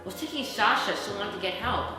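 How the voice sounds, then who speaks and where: A woman's voice: medium pitch, a little fast, recorded from a distance, lightly acted. A woman voicing an anxious, sceptical young boy in a storybook reading, reluctant and dramatic. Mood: uneasy. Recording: very echoey room, music underneath.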